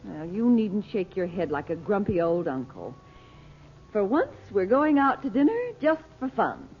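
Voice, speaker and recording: An actress playing a gracious, spirited mother and gently teasing sister in a 1940s radio drama. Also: medium pitch, very animated, a little slow, breathy edge. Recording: dull old radio recording.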